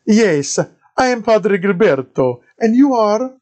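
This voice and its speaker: A man voicing a fat, fussy Franciscan monk with a thick Italian accent who hesitates and fills his speech with pauses. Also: high-pitched, big pitch swings, a little mellow, pitched above the performer's own voice, full character voice. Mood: flustered. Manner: hesitant, full of fillers.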